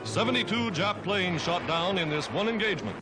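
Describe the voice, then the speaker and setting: A high-pitched American man delivers scripted 1940s newsreel narration. He declaims each phrase with emphatic drama. Loud music plays behind him on the period soundtrack. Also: animated, brisk, rough recording.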